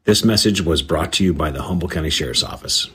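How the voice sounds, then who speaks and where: A man's voice: low voice, quick pace, background noise. A man reading a scripted public service safety announcement.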